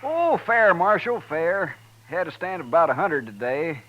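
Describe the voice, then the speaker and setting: An American actor playing a talkative, hospitable buffalo hunter in a 1950s radio western, with folksy, drawling rustic speech. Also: male voice, a little high, big pitch swings, moderate pace, a little hiss or hum. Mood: folksy, chatty. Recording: dull, band-limited 1950s radio recording.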